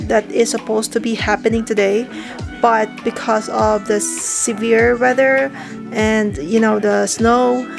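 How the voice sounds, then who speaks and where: A woman's voice: on the high side, gravelly. A woman in her thirties chatting to her viewers in a casual travel vlog, talking into a handheld camera. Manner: chatty, addresses viewers as guys. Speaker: travel vlogger. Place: hotel room indoors, then balcony outdoors. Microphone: handheld camera at arm's length.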